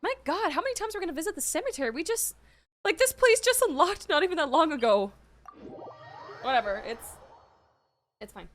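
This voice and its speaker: High, very animated woman streamer. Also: fairly quick, long pauses, slight background noise. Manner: playful, exclamatory. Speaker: gaming streamer. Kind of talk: casual reaction commentary. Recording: game music behind the voice.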